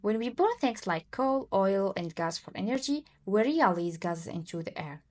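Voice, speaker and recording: A young woman from Morocco co-hosts a podcast and explains things from a prepared script. Her voice has a middling pitch, and she reads with clear emphasis. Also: expressive, normal speed, darkish tone. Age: 20s. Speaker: podcast co-host.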